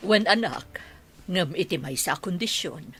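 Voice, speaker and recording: A woman playing a protective, testing mother of a grown son in a radio drama, with a husky voice and an Ilocano accent. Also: fairly low pitch, expressive, measured pace, fairly bright tone, faint music behind. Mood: firm, scheming.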